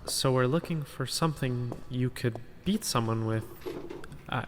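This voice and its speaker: A timid young student sleuth in an animated mystery, voiced by an actor, speaking slowly with halting stammers. The voice is unsure and apologetic, in long reverb with some background noise. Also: mid-range voice, close to an everyday voice. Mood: tentative, thinking. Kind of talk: dramatic animated mystery voice acting.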